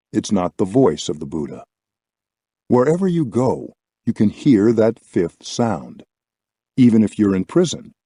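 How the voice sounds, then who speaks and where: A man reading a scripted spiritual audiobook with measured, deliberate phrasing. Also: on the low side, mellow, dry recording, clean studio sound. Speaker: audiobook reader.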